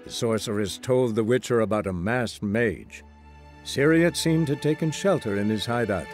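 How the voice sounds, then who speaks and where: An actor playing a bard who narrates a friend's adventures in a fantasy game, in a measured, dramatic storybook style. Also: male voice, mid-range voice, expressive, faint music behind, close to an everyday voice. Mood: measured storytelling. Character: male bard recounting his monster-hunter friend's adventures.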